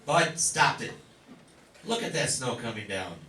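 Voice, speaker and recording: A man's voice: medium pitch, animated, a little slow, sharp tone. A man playing a stubborn, nostalgic family father in an old-time radio comedy. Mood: insistent. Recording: roomy, distant mic, faint noise.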